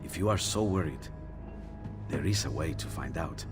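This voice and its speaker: Game companion: soft-spoken loyal artist, deep register, wistful, scored scene. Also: male voice, moderate pace, over music. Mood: wistful, concerned. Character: gentle, wistful Italian artist and loyal old friend. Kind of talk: video game cutscene acting.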